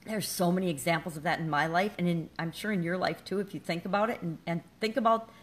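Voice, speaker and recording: A woman's voice: fairly low pitch, quick pace. Middle-aged woman, business-coach vlogger, candid and self-deprecating, conversational. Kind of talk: casual motivational talk to camera.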